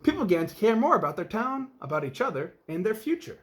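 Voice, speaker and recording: A man's voice: sits high, big pitch swings, quick pace. A man narrating a children's story aloud in an emphatic, theatrical way. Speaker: storybook reader.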